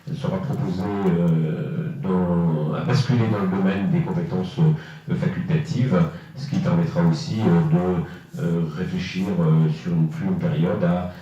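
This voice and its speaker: A man with a low, mellow voice presents to a municipal council as a councillor. He explains step by step, haltingly, with many drawn-out hesitations. The sound is heavily echoing, picked up by a distant microphone. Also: fairly even intonation. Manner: halting, hesitant delivery with frequent long filler pauses. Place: reverberant indoor meeting room. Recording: echoey, muffled room, distant mic.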